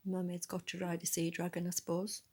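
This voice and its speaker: A woman reading a picture book aloud in a soft, breathy voice, adding musing, conversational asides about the illustrations. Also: on the low side, completely flat delivery, fast. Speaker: storybook reader.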